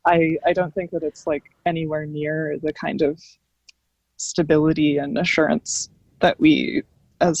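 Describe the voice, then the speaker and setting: A woman's voice: low voice, vocal fry, darkish tone, no echo, muffled recording. A woman on a panel talking casually and explaining things as she thinks them through.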